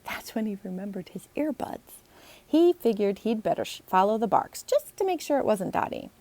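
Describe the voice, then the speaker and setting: A woman, an author, reading her children's book aloud in an expressive storybook narration. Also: medium pitch, normal speed, no echo.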